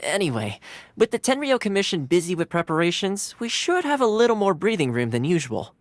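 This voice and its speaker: A man voicing an easygoing, cheerful young male retainer in a video game, breezy and playfully expressive. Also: sits high, a little fast. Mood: reassuring. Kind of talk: animated video game voice acting. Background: background music.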